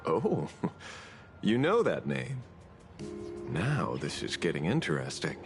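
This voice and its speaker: A roguish, worldly hired gun from a video game, low-pitched with lively swings. He teases and drawls in an easygoing, amused way, with faint music underneath. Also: takes it slowly, vocal fry, exaggerated voice. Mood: sly, casual. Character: roguish, amused, worldly male muscle-for-hire.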